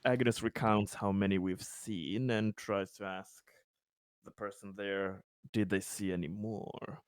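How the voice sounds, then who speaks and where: A man's voice: fairly low pitch, fairly even intonation, slow pace, clear, resonant tone, dry recording, clean studio sound. A man chatting casually as a player in a tabletop roleplaying game.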